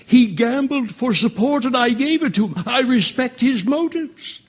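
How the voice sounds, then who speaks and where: An actor playing a mild, dignified old watchmaker juror in a radio drama, speaking politely and earnestly with some hesitation. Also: male voice, very high-pitched, lively intonation, moderate pace, breathy edge, full character voice.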